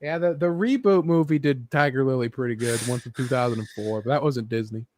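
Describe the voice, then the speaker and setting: A man's voice: medium pitch, fairly quick, a little mellow, dry recording. A man co-hosting a casual podcast chat, conversational and a little hesitant, with some swearing. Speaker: podcast co-host. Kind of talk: casual chat about movies and games.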